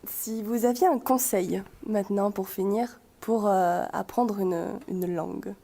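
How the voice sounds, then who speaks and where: A woman's voice: mid-range voice, a little slow, mellow. A woman hosting a radio chronicle, asking polite, formal questions and signing off the show. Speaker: radio columnist and interviewer. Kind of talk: radio interview and sign-off.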